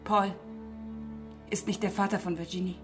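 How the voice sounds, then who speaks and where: A woman's voice: lowish voice, fairly even intonation, moderate pace, close to an everyday voice. An actress playing a distraught, embittered mother in a radio drama, confiding in an agitated way that swings between outburst and murmur. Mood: confessional. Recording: prominent music under the voice.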